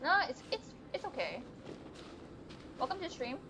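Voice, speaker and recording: A woman's voice: sits high, very animated, moderate pace, very noisy. A woman giving casual live game commentary as a streamer, chattily reacting aloud to the game and her chat. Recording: game music behind the voice. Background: game music.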